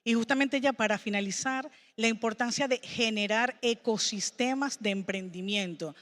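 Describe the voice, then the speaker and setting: A woman's voice: a little high, moderate pace, a bit bright, long reverb tail. A woman speaking on a summit panel in formal, policy-minded language.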